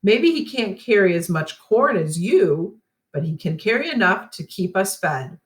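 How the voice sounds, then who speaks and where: A woman reads the part of a pioneer mother in a storybook. She is firm and caring, commanding yet gentle, with lively swings in pitch. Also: unhurried. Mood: soft, encouraging.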